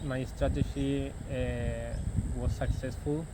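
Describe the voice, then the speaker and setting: A man's voice: medium pitch, little pitch movement, slow, background noise. Argentinian man, hesitant English, crickets behind. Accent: Argentinian Spanish. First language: Spanish. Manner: hesitant, repeats words while searching for English. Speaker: interviewee, intern doctor. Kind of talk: casual interview answers.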